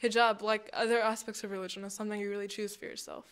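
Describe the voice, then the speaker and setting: A woman's voice: medium pitch, level tone, quick pace, fairly bright tone, smooth. A woman answering interview questions conversationally, earnest and searching for her words.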